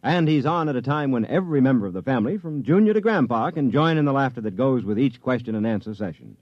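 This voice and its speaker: A man's voice: animated, fast, dry recording. Upbeat 1950s male promo announcer. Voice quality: upbeat, persuasive pitchman. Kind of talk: 1950s radio promo read. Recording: dull dry 1950s radio recording.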